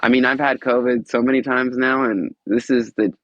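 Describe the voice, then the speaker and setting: A man with a stuffed-up, congested voice, chatting in a relaxed, easygoing way. His sound is bone-dry and a little dull, as if recorded on a modest remote mic. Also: medium pitch, moderate pace, no echo. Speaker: podcast guest, musician. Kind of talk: casual chat.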